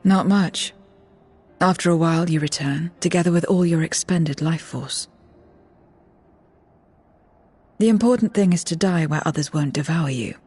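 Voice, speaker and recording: A woman playing a blunt, world-weary void being in a game cutscene, terse and deadpan. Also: low-pitched, normal speed, husky. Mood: matter-of-fact. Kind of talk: video game cutscene acting. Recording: music behind.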